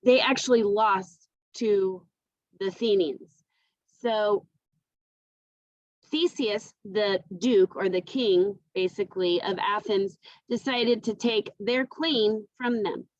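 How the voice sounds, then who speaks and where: A woman's voice: mid-range voice, slow pace, darkish tone, no room sound, highs cut off. A woman teacher explaining a lesson informally, talking directly to her students in a conversational way, recorded on a computer microphone.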